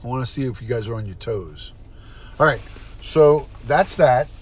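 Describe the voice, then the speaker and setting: A man's voice: mid-range voice, expressive, moderate pace, husky. A middle-aged man, a retired detective, hosting a casual livestream, chatty and stuffed up, sniffling as he greets viewers by name. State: congested, stuffed-up nose, sniffling.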